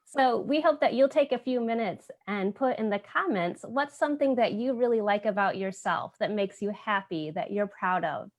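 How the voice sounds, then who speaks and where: A woman's voice: mid-range voice, moderate pace, hardly any pauses. A woman hosting a community storytime, speaking casually and encouragingly straight to the viewers. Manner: encouraging, addresses viewers directly. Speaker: host of a community storytime program. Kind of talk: casual presenting to online viewers.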